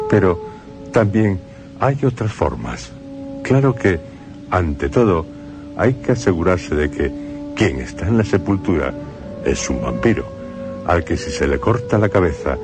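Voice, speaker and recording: A man with a Spain Spanish accent, host of a radio program, narrating a script in measured phrases with dramatic pauses. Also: very deep voice.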